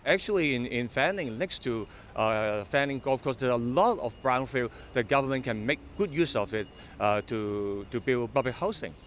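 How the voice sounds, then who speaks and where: A man's voice: medium pitch, animated, moderate pace, few pauses, fairly bright tone, a little hiss or hum. A man speaking to the press as a spokesman, hesitant and repeating words. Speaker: golfers' group spokesman.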